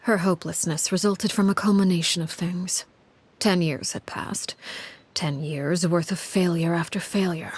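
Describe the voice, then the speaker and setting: A woman voice actor playing a composed, cold, commanding woman who narrates a grim past in a game, in a measured, grave, articulate manner. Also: fairly low pitch, fairly even intonation, husky. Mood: grave, restrained. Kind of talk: scripted video game story narration.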